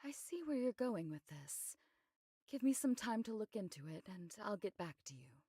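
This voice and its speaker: A voice actress playing a composed, discreet female investigator in an anime game, measured and matter-of-fact as she explains. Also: medium pitch, sing-song, mellow, husky, dry recording, studio quality. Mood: serious, discreet. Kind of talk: scripted anime game voice acting.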